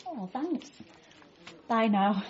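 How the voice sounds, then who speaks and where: A woman playing a blunt but kindly cafe waitress in a radio comedy drama, frank and deadpan, with a husky voice. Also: on the high side, very slow, lo-fi sound, a little hiss or hum. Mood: matter-of-fact.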